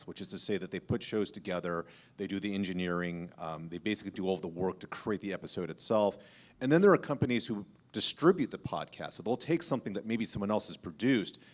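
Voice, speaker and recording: Lowish-pitched man, explanatory. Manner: articulate, clarifying. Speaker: panelist and podcast host. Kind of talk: explanatory panel talk.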